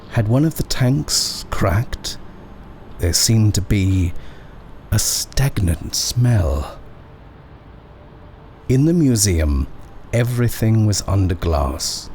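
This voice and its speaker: A low-voiced man narrates an eerie horror story. He reads slowly and deliberately, leaving dramatic pauses between phrases. There is clear background ambience behind his voice. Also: darkish tone, slight background noise. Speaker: narrator reading a short story.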